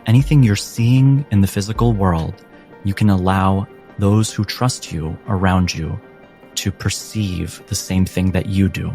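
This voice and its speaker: A man narrating as game master in a dramatic, measured, suspenseful style with long pauses. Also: low voice, a little mellow. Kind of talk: dramatic second-person tabletop narration. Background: background music.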